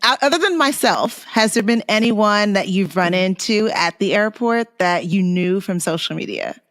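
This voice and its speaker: Woman host, medium pitch, playful, laughs often, casual interview chat. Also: big pitch swings, normal speed. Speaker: podcast host.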